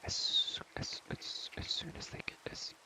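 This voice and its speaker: Whispering young man doing repetitive ASMR. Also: unhurried. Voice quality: breathy, mostly unvoiced whisper. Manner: repeats words and phrases over and over. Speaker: ASMR creator and host. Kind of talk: whispered ASMR, playful rambling. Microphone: close ASMR microphone.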